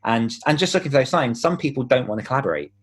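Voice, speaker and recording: A man with a British accent speaks at an average pitch. He explains things informally, as a guest does in a relaxed podcast conversation. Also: quick pace, husky. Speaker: author and podcaster as interview guest.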